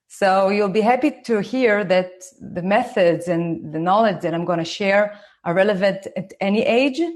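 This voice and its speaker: A woman in her forties from Israel with an Israeli accent, speaking slowly and carefully as she gives a live online talk to parents. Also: medium pitch. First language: Hebrew. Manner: slow, deliberate, and careful for her listeners. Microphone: phone.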